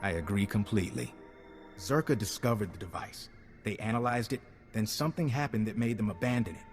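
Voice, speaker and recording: A man's voice: lowish voice, fairly quick, in a large hall, soft background music, natural voice. An actor playing a businesslike male agent giving a mission briefing in a game cutscene, assured and curt. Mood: serious briefing. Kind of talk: scripted game cutscene dialogue.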